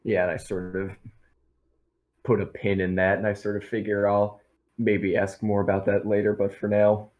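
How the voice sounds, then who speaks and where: A man's voice: lowish voice, completely flat delivery, moderate pace, reverberant, narrow, tinny audio. A man playing a tabletop roleplaying game, speaking casually as his character and describing what that character does.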